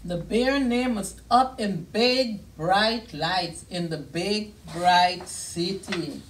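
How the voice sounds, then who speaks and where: A middle-aged woman reading a picture book aloud to young children in a deliberate, expressive way, pausing to comment on the pictures. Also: medium pitch, recorded from a distance, a little hiss or hum, low-quality recording. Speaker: children's storyteller. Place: small indoor children's reading room.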